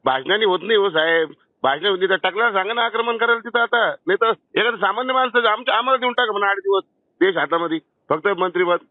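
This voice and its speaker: Agitated high-pitched man on a dull phone line. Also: fast talker, no echo. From Maharashtra, India. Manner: agitated, insistent, repeating himself, talking over the other. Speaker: caller, angry citizen. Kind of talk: confrontational phone conversation. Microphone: telephone. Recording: dull lo-fi phone call recording.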